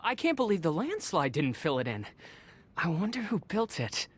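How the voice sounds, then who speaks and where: An actor playing an earnest, kindly, excitable young male warrior deputy in a video game, expressive and exclaiming. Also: medium pitch, moderate pace, slightly rough. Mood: amazed. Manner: expressive, exclaiming, stammers when startled. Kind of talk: English game voice acting.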